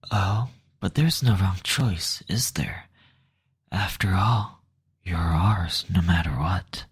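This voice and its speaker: A man's voice: deep voice, slow pace, heavily acted. A man voicing a playful, flirtatious demon lord who coaxes and mocks in intimate roleplay audio. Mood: teasing, flirtatious. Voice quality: breathy, whispery. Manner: coaxing, flirtatious, mocking.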